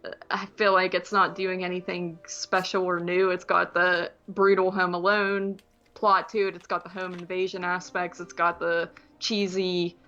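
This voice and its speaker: Bright-voiced woman, dull lo-fi sound. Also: fairly low pitch, level tone, normal speed. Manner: informal, rambling, frequent "like". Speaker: movie podcast co-host. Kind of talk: casual chat reviewing films.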